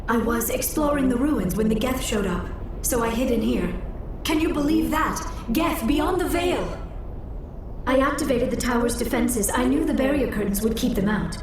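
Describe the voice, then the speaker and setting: A woman's voice: expressive, moderate pace, close to an everyday voice. A woman playing an anxious, earnest young alien scientist in a video game, speaking urgently with precise diction. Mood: urgent, explaining. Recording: background rumble and noise, sometimes distant echo.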